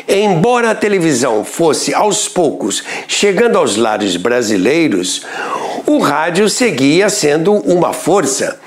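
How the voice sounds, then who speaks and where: A man, narrating a scripted history documentary in a measured storytelling delivery. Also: fairly high pitch, very animated.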